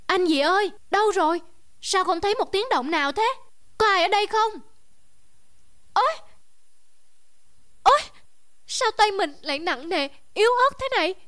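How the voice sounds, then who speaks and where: A woman's voice: expressive, normal speed, long pauses, a little hiss or hum. A woman voicing a young girl who envies others' looks, fretful and emotional in a cartoon story. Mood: frightened. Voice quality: high, bright girlish voice. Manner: fretful and emotional, gasping and crying out.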